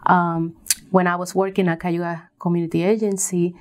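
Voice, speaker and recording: A woman from Puerto Rico giving conversational answers as an interview guest, pausing often as she tells her story. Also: on the low side, flat delivery. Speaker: interview guest, business coach.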